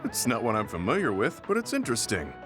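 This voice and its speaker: An actor playing a reserved, old-fashioned veteran soldier in a video game, speaking in a measured, formal, serious manner. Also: male voice, mid-range voice, huge pitch swings. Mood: reserved, mildly pleased. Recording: background music over dialogue.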